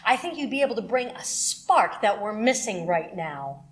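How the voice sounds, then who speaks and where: A woman playing a brisk, persuasive radio station manager in an audio drama, casual and confident. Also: medium pitch, very animated, close to an everyday voice. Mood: breezy.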